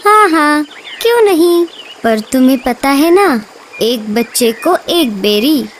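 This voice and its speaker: A woman's voice: sits high, lively intonation, takes it slowly, slight background noise. A woman voicing Bulbul, a strict and greedy mother bird in a cartoon who charges for her pool. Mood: bossy.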